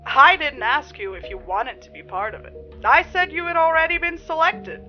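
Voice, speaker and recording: A woman's voice: high voice, normal speed, lo-fi sound, faint music behind, pitched above the performer's own voice, natural voice. A woman voicing a male sales caller in a horror story, insistent and falsely polite, with a chirpy, sinister manner.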